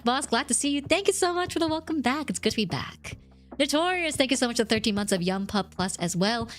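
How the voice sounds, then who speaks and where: A woman streamer chatting casually and thanking viewers, her voice slightly rough from a recent cough. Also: high voice, a bit bright. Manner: chatty, rambling, reads chat and thanks subscribers. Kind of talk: casual livestream chat.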